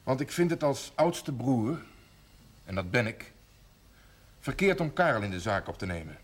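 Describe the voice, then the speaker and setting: An actor playing a firm, self-assured eldest brother in a television period drama, decisive and matter-of-fact. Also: male voice, medium pitch, very animated, moderate pace, husky. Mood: firm, insistent.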